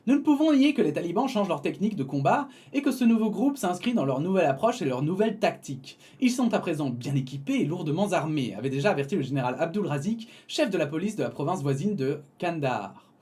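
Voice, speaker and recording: A man's voice: a little high, animated, fast talker, few pauses, warm tone. A young man reading a prepared text, breaking off for casual asides full of hesitations and small laughs. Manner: reads then digresses with fillers and laughs. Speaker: YouTube commentator.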